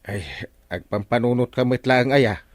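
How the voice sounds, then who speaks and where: A man's voice: mid-range voice, fairly even intonation, normal speed, no room sound, studio quality, natural voice. A man with an Ilocano accent playing an exasperated, grumbling village captain in a radio comedy drama.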